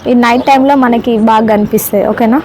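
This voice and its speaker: A woman with a Telangana Telugu accent speaks in a fairly high voice. She gives a casual, explanatory gadget demo, often checking that viewers follow. Faint noise sits behind her. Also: steady tone, fast. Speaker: product reviewer. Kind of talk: casual hands-on gadget demonstration.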